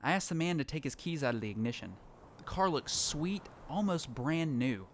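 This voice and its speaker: A man's voice: a little high, animated, moderate pace, a little hiss or hum. A man playing a lone apocalypse survivor who tells his story in earnest, dramatic first-person narration for an audio drama. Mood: reflective. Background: music.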